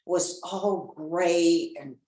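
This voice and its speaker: Woman, host, casual chat, lowish voice, roomy echo. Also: very slow. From Chicago area. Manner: lively storyteller, laughs often. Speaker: podcast host. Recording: echoey room.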